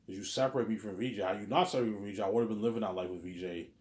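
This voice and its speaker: A man's voice: on the low side, rapid-fire, a little mellow, echoey room. A man in his thirties rambling casually in a monologue to his viewers, repeating himself and stumbling over words. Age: 30s. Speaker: vlogger.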